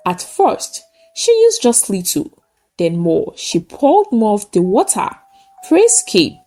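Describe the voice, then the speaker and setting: Woman storyteller with very animated, swooping pitch. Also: faint music behind. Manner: dramatic, short measured phrases. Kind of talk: scripted folktale narration.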